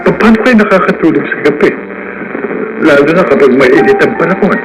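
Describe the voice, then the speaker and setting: A man acting a heartfelt, higher-voiced Filipino father. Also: animated, music in the background, lightly acted. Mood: worried. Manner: heartfelt, earnest, halting. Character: anguished, loving jailed father insisting on innocence. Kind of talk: Filipino radio drama acting. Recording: noisy radio broadcast.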